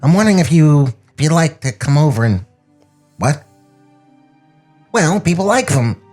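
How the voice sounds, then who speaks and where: A man performs a harried cartoon director in a comedy script read. His voice is rough and husky, with lively swings in pitch. He speaks grudgingly and haltingly over background music. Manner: hesitant, grudging, exasperated. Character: put-upon, halting male cartoon director at a studio.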